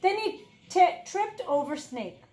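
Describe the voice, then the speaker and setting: A woman's voice: very high-pitched, very animated, slow, a little mellow. A woman storyteller reading a children's picture book expressively, with questioning asides to her young listeners. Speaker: read-aloud storyteller, teacher.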